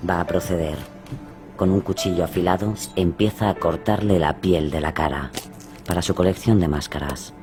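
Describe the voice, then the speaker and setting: A man's voice: sits low, normal speed. A man reading scripted, dramatic true-crime narration as the narrator of a radio horror play. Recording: music behind the voice.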